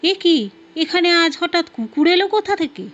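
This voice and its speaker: A woman's voice: extremely high pitch, lively intonation, normal speed, a bit bright, pitched above the performer's own voice. A woman storyteller voicing a startled, anxious male office errand-man in a flustered manner. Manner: flustered, astonished. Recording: dull lo-fi audio, music behind.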